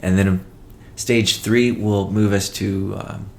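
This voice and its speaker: A man presenting a company update as a chief executive, in a measured, matter-of-fact way with halting phrasing. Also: on the low side, steady tone, vocal fry, darkish tone. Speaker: company CEO hosting a weekly call. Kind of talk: business update presentation, partly read from notes.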